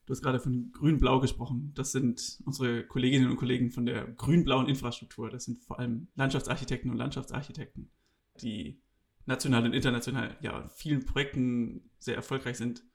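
A man from Berlin hosting an interview, asking his guest measured, even questions about planning. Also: medium pitch, vocal fry. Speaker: podcast host. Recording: roomy echo.

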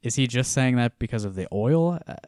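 A man co-hosting a casual podcast, walking through a comic with frequent laughter and quiet trailing-off lines. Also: mid-range voice, expressive, a little fast, no room sound, clean studio sound. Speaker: podcast co-host. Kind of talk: casual chat reviewing a comic.

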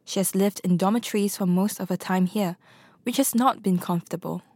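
A woman from Singapore reading scripted documentary narration in a measured, reflective way. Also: medium pitch, a little mellow, no echo, clean studio sound. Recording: voice-over with music behind.

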